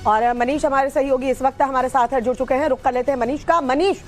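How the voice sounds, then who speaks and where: Woman, news anchor, high pitch, live presenting, faint music bed. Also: fairly even intonation, a little fast.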